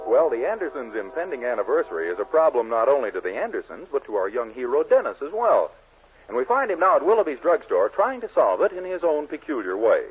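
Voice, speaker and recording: A man's voice: mid-range voice, sing-song, fast talker, breathy edge. A man narrating a 1940s radio comedy as its announcer, from a script. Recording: tinny 1940s radio recording. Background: music.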